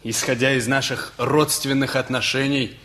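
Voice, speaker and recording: A man plays a cheeky teenage nephew in a radio comedy. His voice sits at a middle pitch for a man. He is measured and bookish, answering with calm, ironic defiance. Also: natural voice. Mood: insolent, ironic. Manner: measured, ironic, mock-formal. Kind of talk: Russian radio comedy play acting.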